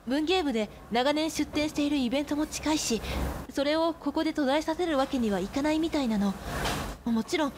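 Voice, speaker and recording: A woman playing a composed, earnest high school girl in a radio drama, with a measured, firm manner. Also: background noise, close to an everyday voice. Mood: serious, explaining. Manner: measured, earnest, feminine phrasing.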